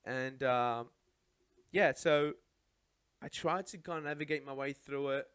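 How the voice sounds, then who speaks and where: A young man from Iraq whose first language is Arabic, recounting his life story as a podcast guest in a studio, chatty and animated, often adding "right?". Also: mid-range voice, unhurried, smooth, dry recording. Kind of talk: casual storytelling chat.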